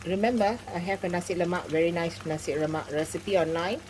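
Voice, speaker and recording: A woman's voice: on the low side, fairly quick, darkish tone, slight background noise. A middle-aged woman hosting a home cooking demonstration, giving chatty step-by-step instructions with frequent asides. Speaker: cooking channel host. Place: home kitchen.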